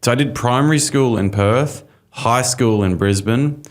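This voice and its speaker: A man with an Australian accent hosting a casual interview podcast, easygoing and quick with affirmations. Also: medium pitch. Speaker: podcast host.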